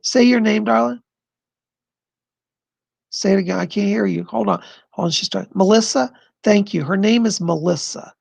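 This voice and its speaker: A man hosting a live stream of psychic readings, answering viewer questions in an effusive, chatty way and pausing to listen to spirits. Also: sits high, gravelly, slightly rough, no echo. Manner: pauses to listen to spirits mid-sentence.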